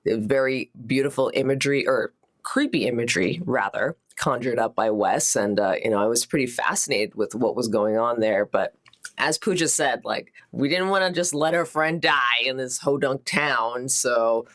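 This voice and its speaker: A man chatting casually as a tabletop game player, halting and self-deprecating, with chuckles breaking into the talk. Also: mid-range voice, a bit bright, no echo. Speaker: player on a tabletop actual-play show. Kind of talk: casual chat.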